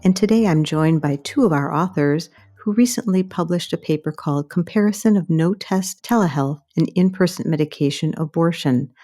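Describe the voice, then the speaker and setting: Woman, American accent, low pitch, soft mellow tone, formal interview host, middle-aged. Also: moderate pace, hardly any pauses, faint music behind. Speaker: podcast host and medical journal editor.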